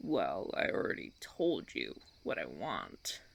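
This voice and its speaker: Guy doing a smug vampire leader for audio roleplay, slow n teasing, creaky voice, crickets behind. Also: deep voice, huge pitch swings, fairly bright tone, exaggerated voice. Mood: smug, taunting. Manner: theatrical, teasing, drawn-out phrasing with sighs and chuckles. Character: suave, condescending male vampire colony leader, menacingly playful. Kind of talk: roleplay audio drama monologue, ASMR.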